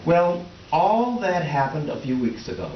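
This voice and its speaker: A man's voice: a little high, wildly swooping pitch, unhurried. A man playing a sheepish middle-aged husband in a comic readers theater piece, hesitant, flustered and placating. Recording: roomy, distant, dull, faintly noisy stage recording.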